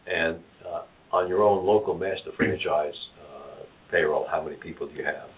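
A man's voice: extremely low pitch, fairly even intonation, moderate pace, slightly rough. A man from New York City with a New York accent, hosting a business interview and asking probing follow-up questions. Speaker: show host. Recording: tinny phone-like audio.

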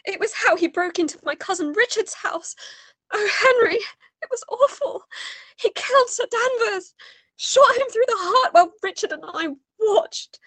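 A woman playing an anxious, pleading gentlewoman in a melodramatic radio play, earnest and emotional. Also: extremely high pitch, animated, moderate pace, no echo. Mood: distressed, anxious.

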